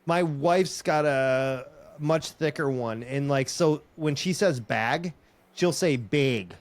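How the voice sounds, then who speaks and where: A man with a slight Minnesotan accent co-hosting a podcast, chatting easily with lots of fillers. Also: mid-range voice. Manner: easygoing, filler-heavy, does quick voice impressions. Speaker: podcast co-host. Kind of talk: casual chat.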